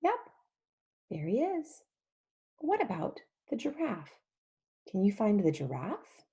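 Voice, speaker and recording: A woman leading an interactive storybook read-along for young children, animated and emphatic, using simple repeated phrases and questions. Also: medium pitch, slow. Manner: animated, emphatic, often drops to a whisper.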